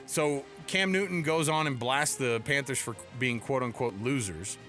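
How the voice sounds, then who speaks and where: A man's voice: mid-range voice, moderate pace, fairly bright tone, soft background music. An American man co-hosting a sports radio show, chatting casually and jokingly in colloquial style from a studio.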